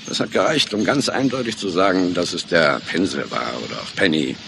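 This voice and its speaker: An actor playing a gruff, cynical hardline police detective in a radio crime drama, with a curt, sardonic manner. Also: male voice, low voice, very animated, a little fast, background noise, full character voice. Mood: grave, confiding.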